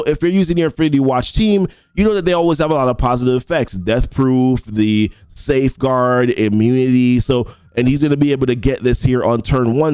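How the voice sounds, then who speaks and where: A man's voice: mid-range voice, warm tone, clear, resonant tone, no echo. A young adult man giving casual commentary on a mobile game update. He reads ability text aloud and rambles with frequent restarts. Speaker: mobile game content creator. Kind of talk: casual game commentary reading patch notes.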